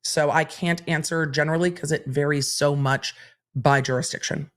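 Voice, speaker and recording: A woman's voice: deep voice, normal speed. A woman hosting a livestream, chatting candidly and reading out viewer questions. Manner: chatty and candid, answering questions in an even, explaining way. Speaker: legal commentary livestream host.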